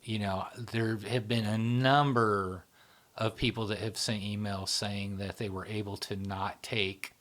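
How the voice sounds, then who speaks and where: A man in casual interview conversation, talking in a relaxed, rambling way with many fillers and restarts. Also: lowish voice, a little slow. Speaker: channel founder, interviewee.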